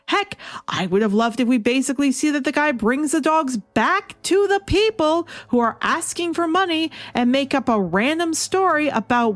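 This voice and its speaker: A woman's voice: high voice, no room sound. A woman giving opinionated review commentary in an emphatic, sarcastic, incredulous manner. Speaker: comic book reviewer. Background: music behind the voice.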